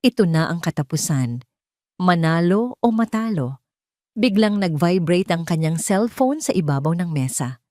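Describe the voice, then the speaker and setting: Lowish-voiced woman narrator, dry sound. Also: animated, moderate pace, darkish tone, no room sound. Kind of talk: scripted dramatic story narration.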